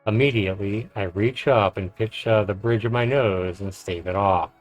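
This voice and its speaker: A male game streamer with a slightly low voice reads visual novel narration aloud. His delivery is measured, mixed with casual commentary, over soft game music. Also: low-quality recording.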